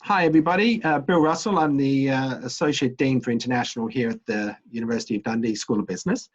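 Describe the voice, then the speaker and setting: A middle-aged man, an associate dean, interviewing a student in a relaxed way with many ums and uhs. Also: medium pitch, fast, slightly rough, muffled recording.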